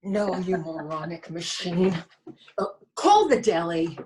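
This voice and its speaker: Woman acting a cranky old wife, swooping pitch. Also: animated, takes it slowly, a little mellow, a little hiss or hum. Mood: indignant. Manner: grumbling, muttering to herself. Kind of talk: stage play reading over video call.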